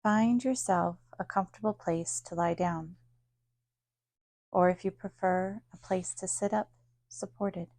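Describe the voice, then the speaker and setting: A woman with a soft-edged, mellow voice and noticeable vocal fry leads a guided relaxation. She speaks calmly and soothingly, leaving long pauses between short instructions. The sound is close and dry, with no room around it. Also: on the low side, no room sound. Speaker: yoga nidra teacher. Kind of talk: guided meditation.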